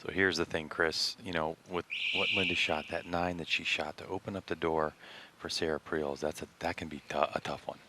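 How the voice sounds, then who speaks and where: A man with a very deep voice calling the action and sharing stories about the players. Steady noise is clearly heard behind him. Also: brisk. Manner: storytelling, sets up co-host. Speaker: play-by-play commentator. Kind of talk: live sports commentary.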